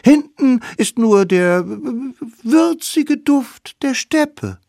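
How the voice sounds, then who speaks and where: A gang of scheming little cave kids, put on by a man reading a storybook, chirps in a high, sly, wheedling voice. The pitch leaps about a lot. The sound is close and dry. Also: lively intonation, very slow, vocal fry, darkish tone, dry recording, heavily acted.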